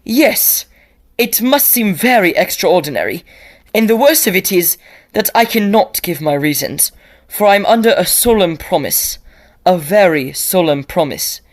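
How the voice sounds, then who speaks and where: Anxious, honourable young Victorian gentleman played by a woman, slightly low for a female voice, earnest period phrasing, audio drama. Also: expressive, unhurried. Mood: grave warning. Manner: formal, old-fashioned phrasing.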